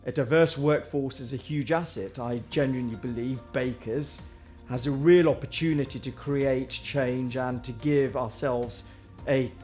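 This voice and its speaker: A man who is a partner at a firm, speaking warmly with a light touch of humour in a corporate testimonial. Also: mid-range voice.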